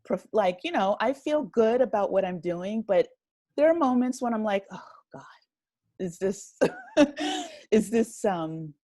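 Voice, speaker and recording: A woman speaking as a podcast guest in casual conversation, reflective and appreciative, gathering her thoughts haltingly as she goes. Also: mid-range voice, huge pitch swings, warm tone, dry recording, studio quality. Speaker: podcast guest, writer and audio drama creator.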